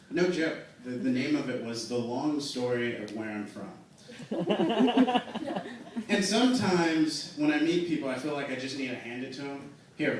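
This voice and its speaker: A young man in his twenties doing a stand-up routine in a comedy club, telling self-mocking stories in a slow, dry way. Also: fairly high pitch, slight background noise. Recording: echoey club room miked from a distance.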